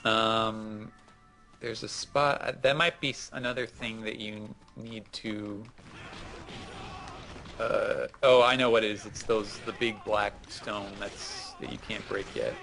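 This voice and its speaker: A man's voice: lowish voice, steady tone, slow, gravelly, fairly bright tone, faint music behind. A man in casual gaming chat, explaining things as a co-host in a hesitant way, circling back over his phrases. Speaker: gaming co-host giving tips.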